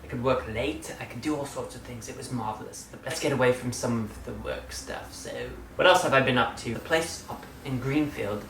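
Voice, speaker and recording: A man talks to his channel in a casual, playful update and hams it up, swinging from loud bursts to quiet asides. He sits some way off the microphone, so the voice comes through distant. The room adds an echo, with a faint hum of room noise underneath. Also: mid-range voice, moderate pace, hardly any pauses. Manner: playful, theatrical, jumps between loud and quiet; slips into a put-on British-style accent at times. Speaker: channel host.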